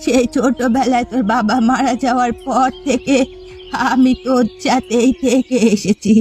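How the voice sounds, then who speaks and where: A woman playing a jealous, accusing elderly village mother-in-law in a melodramatic cartoon, with slow, emphatic delivery. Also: on the high side, steady tone, darkish tone, soft background music, close to an everyday voice. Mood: remorseful, pleading. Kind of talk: melodramatic cartoon family comedy acting.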